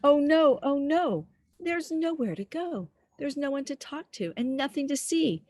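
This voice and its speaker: A woman reading a picture book aloud to young children outdoors, expressively, pausing to put questions to the listener. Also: high voice, expressive, darkish tone, no echo. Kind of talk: animated read-aloud storytelling for young children. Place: outdoors in a backyard with trees.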